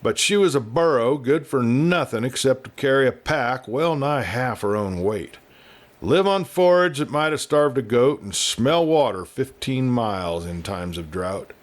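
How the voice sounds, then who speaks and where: A man's voice: mid-range voice, expressive, measured pace. Man reading a western tale aloud. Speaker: audiobook narrator. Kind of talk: scripted storytelling narration of a western tale.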